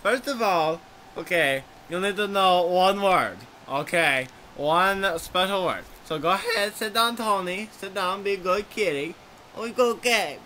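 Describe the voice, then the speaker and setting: A man's voice: high voice, expressive, a little slow, pushed and bright, a little hiss or hum, exaggerated voice. A man voicing an eager, silly chihuahua who gives playful, repetitive love advice. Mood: enthusiastic.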